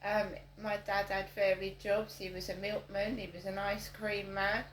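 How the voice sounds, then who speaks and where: A middle-aged woman chatting casually in a vlog, meandering slowly with many ums and restarts. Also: medium pitch, level tone, echoey room, a little hiss or hum, low-quality recording. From South East London. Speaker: vlogger. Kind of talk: casual rambling vlog monologue. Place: outdoors on a walk with a dog.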